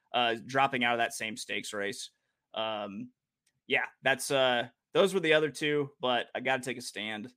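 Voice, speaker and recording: A man's voice: mid-range voice, fairly bright tone. A man hosting a horse racing podcast, chatting casually and joking, with many fillers and restarts. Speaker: podcast host and handicapper. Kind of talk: casual chat about horse race betting.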